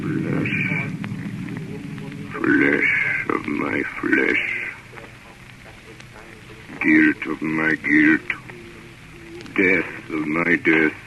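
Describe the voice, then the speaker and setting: A muffled, noisy old radio recording carries an ancient vampire count. The voice is extremely deep and slow, solemn and commanding, chanting with lonely, sinister longing. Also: male voice, full character voice. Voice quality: deep, resonant, forceful. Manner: slow, solemn, incantatory pleading. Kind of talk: 1930s radio horror acting.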